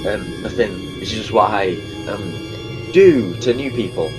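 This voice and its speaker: A man's voice: fairly low pitch, lively intonation, a little slow, over music, poor audio. A man playing an eccentric, impatient alien time traveler in an audio drama, with a flustered, blunt delivery. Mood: distracted, evasive.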